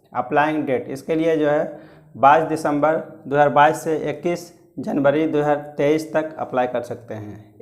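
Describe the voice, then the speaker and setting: A man's voice: a little high, a little mellow. A man with an Indian accent explaining exam guidance as a coaching teacher, repeating and rephrasing his points in two languages. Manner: repeats and rephrases points, switching between Hindi and English.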